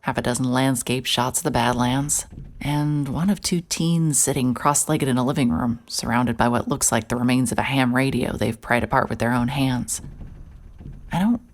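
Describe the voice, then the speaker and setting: A woman's voice: a bass voice, steady tone. An actress playing a wry, guarded female private investigator in an audio drama, both narrating and in dialogue. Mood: wry, watchful. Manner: wry, descriptive, halting when cornered. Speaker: narrator and lead character.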